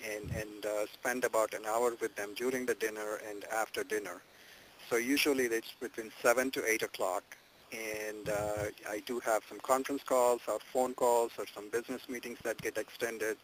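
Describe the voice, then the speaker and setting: A man's voice: medium pitch, fairly bright tone. A man answering a coach on a group call, hesitant and searching for words, often repeating himself. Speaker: coaching client, a working parent. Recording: noisy phone conference line with clicks.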